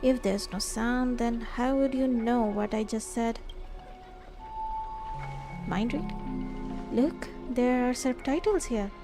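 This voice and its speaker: A woman's voice: a little high, takes it slowly, long pauses. A woman streamer reading a game's story dialogue aloud. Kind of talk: live stream read-aloud of game script. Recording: faint game music behind. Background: faint music.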